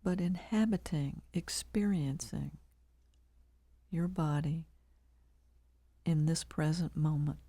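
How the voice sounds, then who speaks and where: Slow, mellow woman guiding meditation. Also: deep voice, breathy edge, no room sound. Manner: calm, measured, with long pauses between short phrases. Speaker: meditation guide. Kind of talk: guided body scan meditation.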